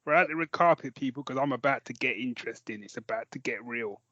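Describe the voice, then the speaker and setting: A man's voice: mid-range voice, fast, pushed and bright, no room sound. Jokey man, dry dull recording. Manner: jokey, self-mocking, sweary. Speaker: podcast co-host. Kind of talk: casual chat, recommending audio dramas.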